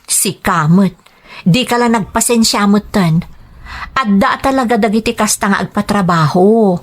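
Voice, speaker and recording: A woman's voice: mid-range voice, normal speed, lightly acted. A woman voicing a nagging, pleading young wife in a poor household, in an audio drama. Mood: scolding, worried. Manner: nagging, questioning. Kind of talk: serial audio drama acting.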